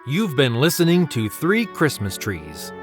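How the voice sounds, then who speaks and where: A man's voice: medium pitch, huge pitch swings, unhurried. Male storybook narrator over music.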